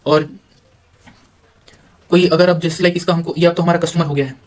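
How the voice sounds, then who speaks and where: An Indian man giving an informal software walkthrough, halting at times and mixing English terms into his speech. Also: on the high side, fairly even intonation. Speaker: software demonstrator. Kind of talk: informal screen-recorded tutorial walkthrough.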